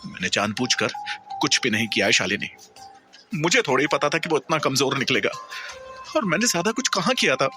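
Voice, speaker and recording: A man's voice: medium pitch, animated, fairly quick, sharp tone, soft background music, voice pushed up. A man voicing a flustered, fearful woman who pleads and makes excuses in an audio story. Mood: flustered, defensive. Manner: panicky, pleading.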